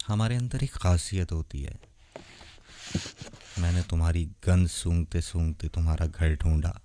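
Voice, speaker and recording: A man playing a tender, lonely, centuries-old vampire boyfriend in a romantic ASMR roleplay, speaking softly and intimately to the listener. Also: low voice, steady tone, a little hiss or hum. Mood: affectionate. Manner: soft, intimate, gentle, unhurried.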